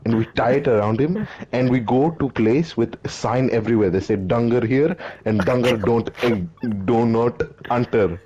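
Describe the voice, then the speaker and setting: A man's voice: medium pitch, moderate pace, few pauses, mellow, natural voice. A man playing a boastful man from Delhi who tells a rambling comic tale of his teenage years, laughing at his own jokes. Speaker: storyteller. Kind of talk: comedy sketch monologue. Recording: dull, faintly noisy recording.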